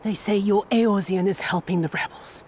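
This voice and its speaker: A woman's voice: medium pitch, normal speed, darkish tone, a little hiss or hum, close to an everyday voice. An actress playing a vicious noblewoman who coldly demands revenge. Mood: vengeful. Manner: cold, cruel. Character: vicious noblewoman lady, cruel and vengeful.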